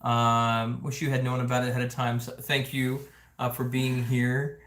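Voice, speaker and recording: A man's voice: mid-range voice, completely flat delivery, moderate pace, a bit bright. A man hosting a casual family livestream, chatting and joking with viewers in an engaged, conversational way. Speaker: family vlog host. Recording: roomy indoor echo.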